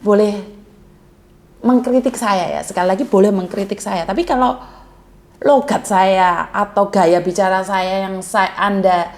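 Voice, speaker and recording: A woman's voice: mid-range voice, fairly even intonation, measured pace. A woman hosting a call-in advice chat, speaking bluntly and plainly, by her own account coarse and outspoken. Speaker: host of a call-in advice channel. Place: Hong Kong.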